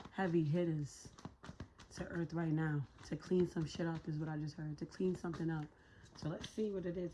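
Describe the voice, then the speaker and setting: Woman, hoarse, raspy, low voice, mellow tone, slangy and profane, casual tarot reading. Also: slight background noise, low-quality recording. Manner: slangy, profane, thinks out loud; talks to spirit while pulling cards. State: hoarse, voice a little shot. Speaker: tarot reader.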